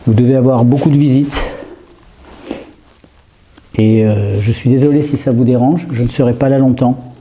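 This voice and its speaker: A man with a heavy cold, sniffling, quietly calling out to spirits in an empty house and often trailing off and starting again. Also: mid-range voice, fairly even intonation, normal speed, long pauses, slight background noise. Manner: hushed, hesitant, trailing off and restarting. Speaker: paranormal investigator. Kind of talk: live ghost-hunting vlog, calling out to spirits. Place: empty old house at night.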